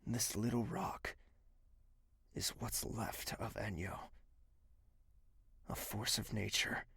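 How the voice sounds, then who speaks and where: An actor playing a remorseful, lovesick young nobleman in an audio drama, with a grave, earnest delivery and a gravelly voice. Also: lowish voice, fairly even intonation, slow, pushed and bright, full character voice. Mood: somber, guilty.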